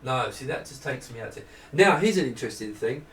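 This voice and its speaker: A man's voice: medium pitch, animated, a little fast, fairly bright tone. A middle-aged man giving a casual, rambling, self-deprecating hardware demonstration, chatting off the cuff. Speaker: presenter demonstrating retro computer hardware. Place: small indoor room at a desk. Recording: roomy, distant camera microphone.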